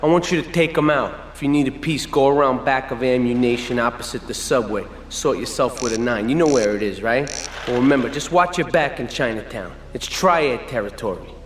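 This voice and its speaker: An actor playing a cocky young mobster and mechanic, smug and casual. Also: male voice, mid-range voice, brisk, reverberant, in a large hall, slight background noise, natural voice.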